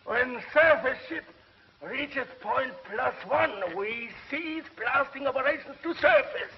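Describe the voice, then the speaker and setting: A man's voice: very high-pitched, takes it slowly, vocal fry, heavily acted. An actor playing a servile, scheming underworld henchman in a 1950s TV sci-fi serial, reporting obsequiously to his master. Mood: gleeful, dutiful. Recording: muffled old TV soundtrack.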